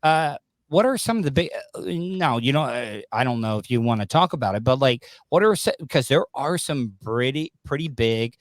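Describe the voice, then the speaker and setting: Male podcast host, forties, mid-pitched, casual and halting, close-miked in a dry room. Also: expressive, no echo. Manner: rambling, halting delivery. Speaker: podcast host interviewing a guest. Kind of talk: casual interview. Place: small home studio with acoustic foam. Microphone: close studio microphone on a boom arm.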